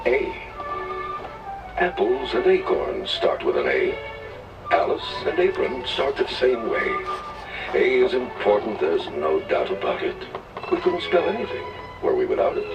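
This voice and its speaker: A man reads children's rhymes slowly and theatrically, his pitch swinging widely. It is an old storybook record played back a little distantly, with clear music behind him. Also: huge pitch swings, dull audio. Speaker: read-along record narrator. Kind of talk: scripted children's rhyming narration.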